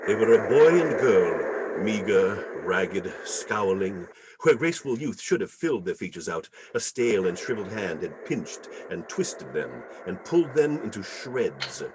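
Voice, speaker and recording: A man playing a grave narrator of a dark ghost story, delivering solemn, ominous scripted lines. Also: sits low, sing-song, measured pace, hardly any pauses, noisy background. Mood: somber, horrified.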